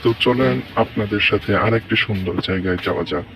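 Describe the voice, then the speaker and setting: Man, Bangladeshi accent, lowish pitch, travel vlogger guiding viewers, outdoor recording, somewhat off-mic. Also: fast, gravelly, fairly bright tone, far from the mic. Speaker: vlogger, tour guide to viewers. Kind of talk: walking travel vlog commentary. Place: outdoors, walking around grounds.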